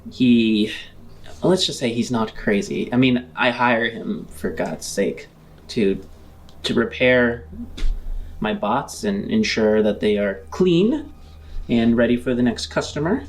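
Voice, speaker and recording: Man playing a slow-talking, guarded shopkeeper. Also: mid-range voice, expressive. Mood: hesitant, guarded. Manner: halting, measured, trailing off.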